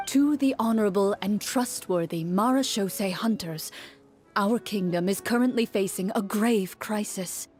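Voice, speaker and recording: An actress plays a woman running a fantasy adventure game. Her narration is solemn and dramatic, told in a measured storyteller's manner at a medium pitch. Soft music sits behind her. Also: close to an everyday voice. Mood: grave, measured.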